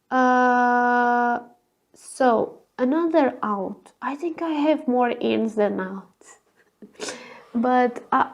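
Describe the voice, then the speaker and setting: A woman with a thin, girly voice, hoarse from a cold, chatting alone on camera and often searching for words. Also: a little high. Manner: hesitant, searching for words, frequent fillers. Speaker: vlogger. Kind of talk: casual solo chat.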